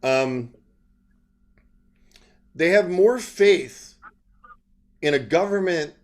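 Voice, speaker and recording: Guy hosting an online chat show, rambly and halting, earnest, kinda casual testimony style. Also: on the high side, expressive. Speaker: interviewer and online show host.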